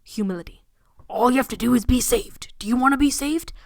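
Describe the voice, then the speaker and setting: A woman's voice: fairly high pitch, a little fast, gravelly, no echo. Storybook big friendly preacher man played by a woman, earnest, kindly, pious, very dry sound. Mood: proud, pious.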